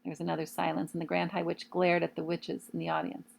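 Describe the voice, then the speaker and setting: A woman reading a children's novel aloud in expressive storytelling narration. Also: on the low side, fast, creaky. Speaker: storybook reader.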